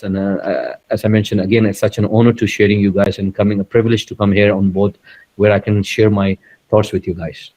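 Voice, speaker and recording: Low-voiced man, earnest and promotional. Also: dark tone, poor audio. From Dubai, UAE. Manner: earnest, repetitive, promotional, with many restarts. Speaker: business founder, interview guest. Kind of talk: conversational interview.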